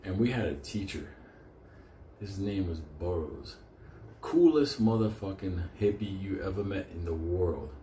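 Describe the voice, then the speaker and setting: A man's voice: low voice, lively intonation, measured pace, mellow, echoey room, slight background noise. A man from Puerto Rico whose first language is Spanish, telling profane, rambling stories and laughing often as host of his own show. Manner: rowdy, laughs often, swears constantly. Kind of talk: casual profane storytelling monologue.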